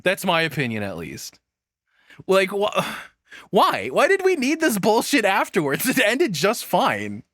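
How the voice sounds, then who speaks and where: A man's voice: sits high, huge pitch swings, unhurried, a bit bright, no echo. A man giving casual, blunt reaction commentary as a streamer, swearing freely, on a close mic.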